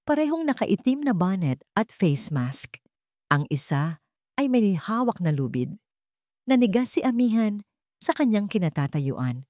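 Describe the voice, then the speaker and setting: A woman with a Filipino accent reads a scripted dramatic story in a measured storytelling manner. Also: on the low side, a little mellow, dry recording, clean studio sound. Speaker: story narrator. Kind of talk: scripted dramatic narration of a Tagalog love story.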